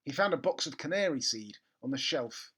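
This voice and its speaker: A man's voice: medium pitch, animated, normal speed, fairly bright tone, breathy edge. Man reading a children's story with theatrical emphasis. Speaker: storybook reader. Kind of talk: expressive children's book narration.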